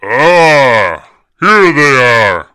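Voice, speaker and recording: A man voicing a huge, wary bear man with a gruff, growling, blunt and forceful delivery in an audiobook. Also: medium pitch, very animated, drawn-out words, no room sound, pitched above the performer's own voice, exaggerated voice. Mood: gruff, suspicious. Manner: blunt, forceful, laughing to himself.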